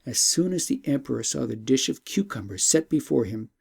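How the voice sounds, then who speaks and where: A storyteller reading a classic tale as an audiobook, in a measured, formal and expressive narrating manner. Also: medium pitch, gravelly, slightly rough.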